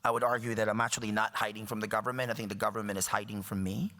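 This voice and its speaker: Wry man in his thirties, bright tone. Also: on the low side, flat delivery, very fast. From Philippines. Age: 30s. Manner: wry, joking, emphatic. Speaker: guest speaker. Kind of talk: public talk. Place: lecture hall. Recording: reverberant lecture hall.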